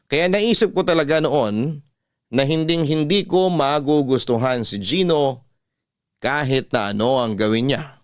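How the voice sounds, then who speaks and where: Smooth male radio storyteller. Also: medium pitch, unhurried, no room sound, studio quality. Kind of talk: scripted first-person story narration, classic radio manner.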